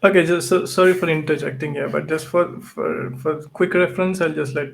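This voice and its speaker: Tech podcast host guy, kinda gravelly, a bit high voice, keeps saying right?. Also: fairly even intonation, a little fast, a little hiss or hum. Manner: tags sentences with "right?". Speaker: podcast host and event organizer. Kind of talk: casual tech chat.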